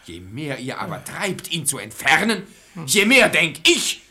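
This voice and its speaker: Casting: a hot-tempered, credulous master of the house, radio verse comedy, forceful bright tone, wildly animated delivery. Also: male voice, fairly high pitch, measured pace, full character voice. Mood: furious, defiant. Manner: emphatic, blustering, theatrical. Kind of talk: classical verse stage comedy as radio play.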